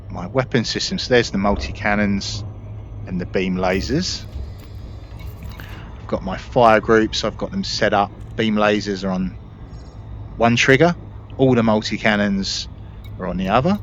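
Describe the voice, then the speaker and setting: A man giving casual, informal gameplay commentary, explaining things as he plays. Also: lowish voice. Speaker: gaming content creator. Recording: dull mic with background noise. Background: spaceship game sound.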